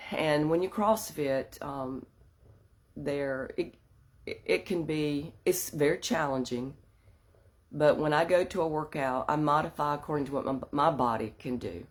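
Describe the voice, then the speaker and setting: A woman's voice: deep voice, measured pace, long pauses. A woman hosting a women's wellness group in a casual live-stream chat. She has a slightly stuffy nose and a mild sore throat, and she talks earnestly while laughing at herself now and then. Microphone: phone or webcam for a live stream.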